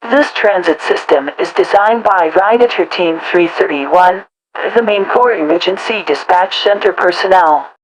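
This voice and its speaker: A woman with a slightly low voice delivers automated transit announcements. She recites each scripted message formally and in a measured way, as a public-address system announcer does. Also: rough recording.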